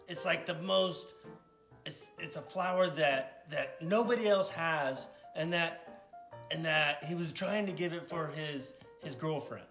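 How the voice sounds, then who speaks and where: A man chatting casually as the sidekick on a kids' puppet show, stammering a little in a hesitant, low-key way. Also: fairly bright tone. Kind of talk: casual unscripted banter. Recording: distant off-camera voice, roomy echo.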